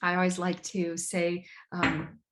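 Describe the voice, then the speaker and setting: A woman from Brazil giving an online astrology lecture, measured and emphatic, repeating words for stress. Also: on the low side, monotone, noisy background.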